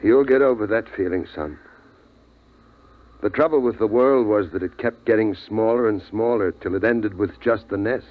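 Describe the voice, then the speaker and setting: A man's voice: lowish voice, lightly acted. A man as a grave, weary radio father. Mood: resolute, reflective. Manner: grave, measured, halting. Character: weary, reassuring father of a survivor family. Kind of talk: 1950s radio drama acting. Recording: tinny 1950s radio recording.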